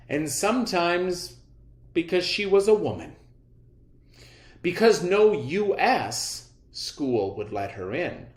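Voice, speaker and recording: A man teaching a read-aloud lesson, instructive, pausing to wonder aloud and put questions to his listeners. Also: on the high side. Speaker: elementary school teacher leading a reading lesson. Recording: roomy, echoing sound.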